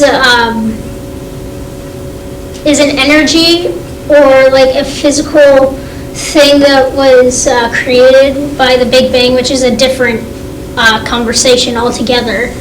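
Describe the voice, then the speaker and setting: A woman's voice: sits high, a little hiss or hum. A female student answering in class, hesitant with many fillers. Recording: echoey classroom, distant mic.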